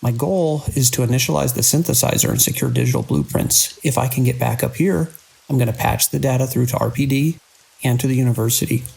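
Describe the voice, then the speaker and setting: An actor playing a beleaguered, idealistic hospital director in a horror audio drama, speaking earnestly and urgently in halting phrases. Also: male voice, medium pitch, big pitch swings, gravelly, darkish tone, breathy edge, full character voice. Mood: resolute, urgent.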